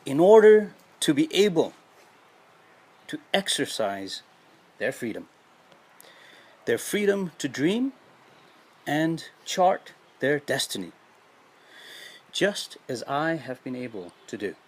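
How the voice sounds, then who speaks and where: Husky Nepali-accented man, emphatic speaker. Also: fairly high pitch, very animated, slow, pauses often, darkish tone. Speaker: education activist, motivational speaker. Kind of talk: earnest motivational speech.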